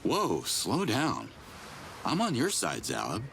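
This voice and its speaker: An actor playing a blunt, wry human hero in a video game cutscene. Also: male voice, mid-range voice, huge pitch swings, slow, creaky, fairly bright tone, a little hiss or hum, heavily acted. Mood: concerned, steady. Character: rugged human hero, blunt, wry soldier helping villagers.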